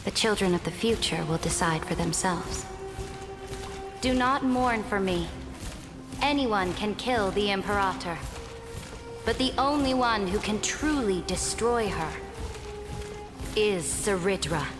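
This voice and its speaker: A woman's voice: mid-range voice, animated, natural voice. Commanding empress voiced by a woman in a reverberant hall. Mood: solemn, defiant. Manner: measured, authoritative, formal. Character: commanding female imperator, proud, shrewd, resolute. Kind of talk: dramatic game dialogue. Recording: reverberant hall, music behind.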